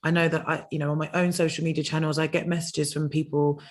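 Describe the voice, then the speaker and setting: A woman's voice: deep voice, level tone, fast talker. Woman host asking winding questions. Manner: asks long, winding questions. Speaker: panel host. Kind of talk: panel discussion.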